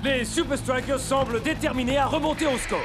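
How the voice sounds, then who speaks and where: Enthusiastic cartoon match commentator, very high, music behind. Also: male voice, fairly quick. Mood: excited. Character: enthusiastic, easily baffled male football commentator. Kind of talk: cartoon sports commentary, French dub.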